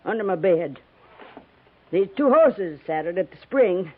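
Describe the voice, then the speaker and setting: A woman's voice: lowish voice, lively intonation, measured pace, breathy edge. An actress playing a tough, sly old American frontier woman with folksy, rustic speech in a 1950s radio drama. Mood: sly, gruff. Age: older. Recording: muffled, thin 1950s radio recording.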